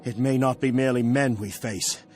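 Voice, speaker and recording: An actor playing a stern, devout army captain in a fantasy video game, grave and commanding. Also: male voice, medium pitch, steady tone, moderate pace, a little mellow, natural voice. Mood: ominous foreboding. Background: faint game music.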